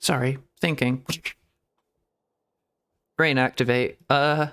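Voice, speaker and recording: A man's voice: mid-range voice, steady tone, takes it slowly, a bit bright, slight background noise. A man streaming a game, chatting casually in a rambling, giggly way that often trails off and restarts. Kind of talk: casual gameplay commentary.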